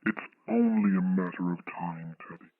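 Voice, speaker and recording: A menacing male stalker comes over a thin phone line, very deep and husky. He talks with slow, deliberate friendliness, like an obsessed fan in a horror radio play. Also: steady tone, no room sound. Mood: quietly menacing. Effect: telephone filter. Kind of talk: audio horror drama acting.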